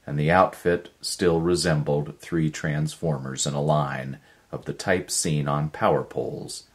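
A middle-aged man reading a story aloud as a narrator, in a dramatic scripted style. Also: rumbling low. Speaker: audiobook narrator, voice actor. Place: indoors, living room.

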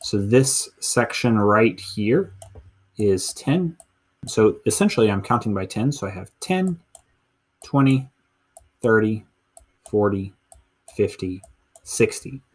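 Man, thirties, American accent, low voice, teacher, step-by-step screencast lesson, laptop mic at home. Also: expressive, slow, long pauses. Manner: step-by-step explaining, counting aloud. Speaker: middle school teacher. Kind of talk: instructional screencast walkthrough.

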